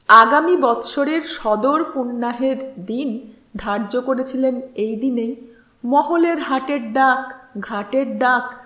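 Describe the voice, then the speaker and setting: A woman with a notably high voice narrates literary fiction at an unhurried, slow pace. Her reading is measured and expressive, with deliberate pauses between phrases. The sound is roomy, with clear echo around her voice. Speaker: audiobook narrator. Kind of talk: scripted dramatic storytelling narration of a literary novel. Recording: roomy, echoing voice recording.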